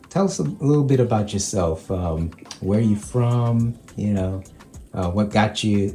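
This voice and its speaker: A man hosting a virtual interview, asking halting questions and affirming often. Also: on the low side, dark tone. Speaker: host. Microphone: video call.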